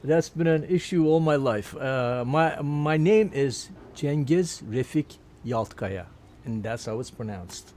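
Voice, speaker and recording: A man, recalling his life in a casual interview, halting with many fillers and restarts. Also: medium pitch, animated, a little mellow, slight background noise. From Turkey. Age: 70s. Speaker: interviewee, musician.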